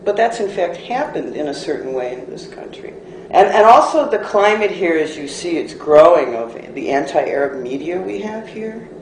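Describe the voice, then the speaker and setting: Higher-voiced man in an echoey room. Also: big pitch swings, moderate pace. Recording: roomy echo with some background noise.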